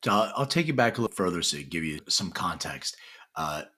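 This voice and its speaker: A man with a low voice tells his own life story as a podcast guest. He grew up in Philadelphia after coming over from Lithuania as a child. He speaks in a relaxed, conversational way. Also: brisk. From Lithuania, raised in Philadelphia. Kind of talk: storytelling interview.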